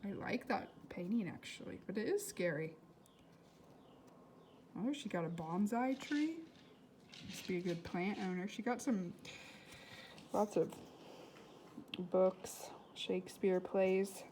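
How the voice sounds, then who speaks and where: A woman's voice: mid-range voice, mellow, a little hiss or hum. A woman reading a storybook aloud expressively, with dramatic emphasis and casual asides to her listeners. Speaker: read-aloud reader.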